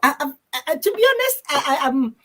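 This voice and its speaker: A woman's voice: high voice, big pitch swings, moderate pace, fairly bright tone. A woman hosting an interview, talking animatedly and often repeating words as she gathers her thoughts. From Kenya. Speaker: interview host. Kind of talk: casual interview chat.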